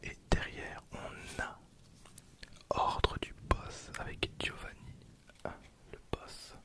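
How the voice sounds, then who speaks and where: Guy in his 20s doing asmr, all whispered, soft murmur, chatty and casual. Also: slow pace. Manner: hushed, softly murmured, informal. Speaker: ASMR video creator. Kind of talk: whispered ASMR, casual card-opening commentary.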